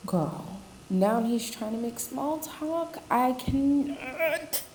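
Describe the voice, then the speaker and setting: A woman's voice: high voice, lively intonation, very slow, darkish tone, slight background noise, heavily acted. A woman playing a sassy, impatient late-night diner waitress in an audio drama, with a brusque manner. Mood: exasperated.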